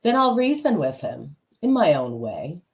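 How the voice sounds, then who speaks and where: A woman's voice: low-pitched, sing-song, measured pace, exaggerated voice. A woman playing a sly, seductive shapeshifting villainess in a radio play, with a teasing manner. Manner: teasing, insinuating. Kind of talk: radio-play script reading.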